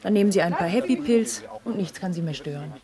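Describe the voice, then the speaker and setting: An actress playing a breezy, practical office colleague in a science-fiction radio drama, talking in a lightly teasing, conversational way. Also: female voice, mid-range voice, animated, fast talker, crowd noise, lightly acted. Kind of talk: German radio drama acting.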